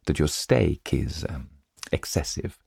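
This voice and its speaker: A courteous, smiling banker at a card table, read by a man, speaks with formal politeness and careful caution. The voice is very low and gravelly, in storybook style. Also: expressive, takes it slowly, darkish tone, heavily acted. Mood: courteous, guarded. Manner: polite, formal, smooth-mannered.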